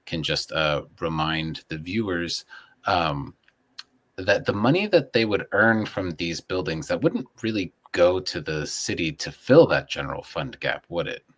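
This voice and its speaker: A man hosting a conversational interview, phrasing his points carefully and a little tentatively. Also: low-pitched. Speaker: interviewer, show host.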